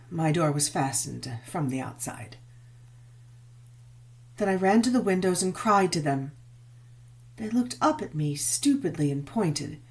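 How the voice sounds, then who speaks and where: A woman reading a gothic novel as dramatic narration, measured and expressive, with drawn-out emphasis. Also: mid-range voice. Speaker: audiobook narrator.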